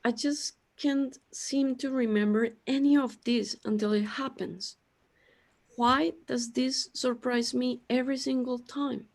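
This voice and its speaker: Audio drama role: woman as puzzled, forgetful immortal, unhurried delivery, high-set voice, peevish questions. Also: fairly even intonation. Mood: bewildered, peevish. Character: bewildered, peevish immortal being with lost memory. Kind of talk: scripted audio drama acting.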